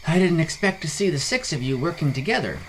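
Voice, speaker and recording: A measured, inquiring lord of a fantasy town, acted by a male narrator. He is commanding yet courteous, with an expressive, somewhat raised voice. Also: on the high side, slight background noise, voice pushed up, rough recording. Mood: surprised. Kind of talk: storybook character voice in fantasy narration.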